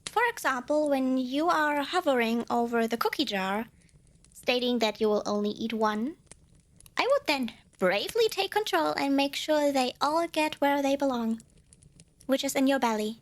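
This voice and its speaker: A woman performs a little female demon in an intimate roleplay for the listener. Her voice sits high and leaps around in pitch. She is proud and indignant, boasting grandly, then hedging and correcting herself like a flustered small saleswoman. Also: lively intonation, moderate pace. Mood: coaxing, cheeky. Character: tiny cute female demon, proud, flustered, bargain-loving. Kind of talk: ASMR roleplay addressed to the listener.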